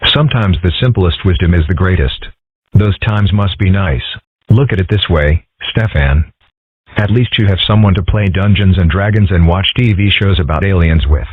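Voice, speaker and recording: A man voicing a calm, dry-witted ten-year-old boy in a cartoon comic dub, with a husky voice and a mild deadpan manner. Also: sits low, normal speed, no echo. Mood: wry, resigned. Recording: muffled band-limited audio.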